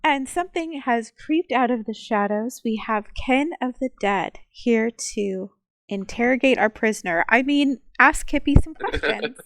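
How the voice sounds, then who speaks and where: A woman's voice: a little high, a little slow, fairly bright tone, no room sound. A woman co-hosting, giving a playful introduction.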